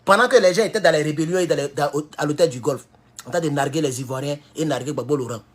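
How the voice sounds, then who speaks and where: A man with an Ivorian French accent giving a heated political commentary, jumping from loud high outbursts to low mutters. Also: fast. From Ivory Coast. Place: at home in California.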